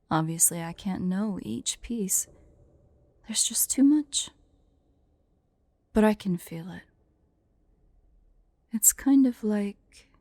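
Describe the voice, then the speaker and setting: Actress as tsundere female dragon in human form, ASMR roleplay. Soft, dark-toned, slow, haughty and teasing. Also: mid-range voice, gravelly, no echo. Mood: troubled, confiding. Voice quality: soft, mellow.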